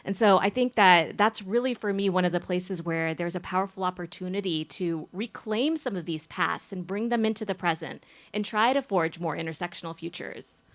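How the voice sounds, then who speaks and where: Low-voiced woman, articulate panelist. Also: normal speed, hardly any pauses. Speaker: panelist, sociologist. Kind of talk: panel discussion.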